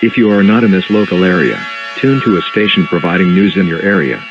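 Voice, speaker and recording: A man playing a solemn, official emergency alert announcer, reading formal, measured scripted notices. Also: low-pitched, animated, music in the background. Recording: muffled lo-fi broadcast audio.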